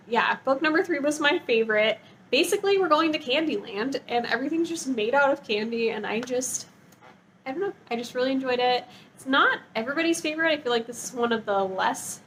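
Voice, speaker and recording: A woman's voice: a little high, fairly bright tone, a little hiss or hum. A woman talking in a casual, chatty vlog style, with an enthusiastic, gushing and informal manner. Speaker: book review vlogger.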